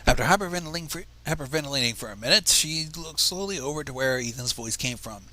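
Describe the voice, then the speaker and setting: A man's voice: a little high, lively intonation, quick pace, bright, forceful tone. A man reading fan fiction aloud on a livestream, narrating expressively and dropping into casual asides. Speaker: livestream reader.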